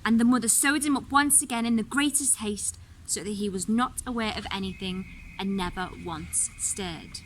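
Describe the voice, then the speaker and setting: A woman's voice: mid-range voice, normal speed, a little hiss or hum. A woman narrating a fairy tale expressively, with dramatic, varied emphasis. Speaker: story reader.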